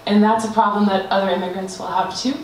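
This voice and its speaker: A woman's voice: medium pitch, fairly even intonation, fast. A woman presents a group design project. She talks hesitantly, with frequent fillers and restarts. She is captured from far off in a very echoey room, with faint noise behind her. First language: not Danish. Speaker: student presenter.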